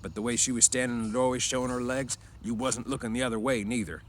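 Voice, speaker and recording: A man's voice: medium pitch, fairly even intonation, fast talker, slight background noise, pitched above the performer's own voice, close to an everyday voice. Bossy, scolding itinerant farm worker performed by a male reader, brusque rural slang, storybook read-aloud. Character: gruff, bossy, protective itinerant ranch hand.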